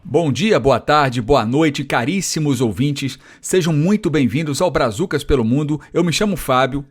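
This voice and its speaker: Man, Brazilian accent, podcast host, husky fairly high voice, upbeat. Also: expressive, normal speed. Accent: Brazilian Portuguese. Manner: friendly, upbeat, laughs easily. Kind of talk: casual interview.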